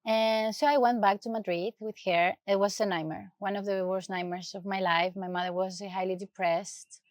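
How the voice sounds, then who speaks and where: A woman from Ecuador with an Ecuadorian Spanish accent, telling her personal story as an interview guest, soft and hesitant as she searches for words in English. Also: mid-range voice, steady tone, moderate pace, no echo, clean studio sound.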